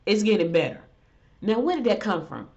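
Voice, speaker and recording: A woman's voice: sing-song, brisk, darkish tone. A middle-aged woman hosting a casual solo talk show, stuffy from a head cold, chatting loosely and ranting in exasperation. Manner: chatty, exasperated rants with rising pitch. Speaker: show host. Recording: dull lo-fi audio.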